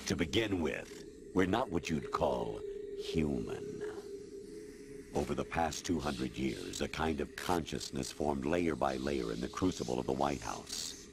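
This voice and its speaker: A man voicing a formless artificial intelligence in a video game, lecturing in a measured, authoritative, matter-of-fact way. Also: rumbling low, animated, vocal fry, background noise, exaggerated voice. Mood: cold, lecturing. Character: formless male artificial intelligence posing as colonel, coldly lecturing. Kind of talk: video game cutscene acting. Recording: loud music behind game dialogue. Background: loud music.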